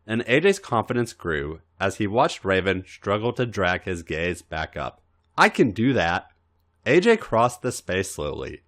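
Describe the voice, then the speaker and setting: A man's voice: lowish voice, a bit bright. A man narrating a story as a podcast host, reading it out dramatically.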